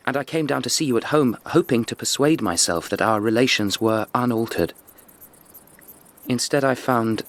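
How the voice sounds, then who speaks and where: A man's voice: lowish voice, a bit bright, natural voice. An actor playing a troubled, frank young upper-class Englishman in a radio drama, with an English accent.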